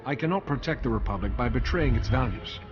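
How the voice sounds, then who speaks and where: Principled sci-fi game hero, played by a man: composed, measured, mid-range, scored with music. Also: mid-range voice, lively intonation, muffled recording, close to an everyday voice. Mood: earnest. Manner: measured, earnest. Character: calm, principled young male Jedi hero. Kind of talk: video game cutscene acting.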